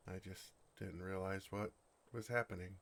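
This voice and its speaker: A bashful dragon played by a man speaks in a lowish voice with a creaky, gravelly edge. He is earnest and tender, hesitating awkwardly as he talks of love. It is an intimate fantasy ASMR roleplay. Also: fairly even intonation, normal speed, a bit bright.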